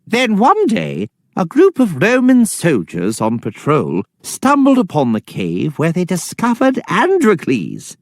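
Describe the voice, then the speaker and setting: Slow, very animated male storyteller. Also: high-pitched, no room sound, studio quality. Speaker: narrator reading stage directions. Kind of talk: scripted narration of a children's play.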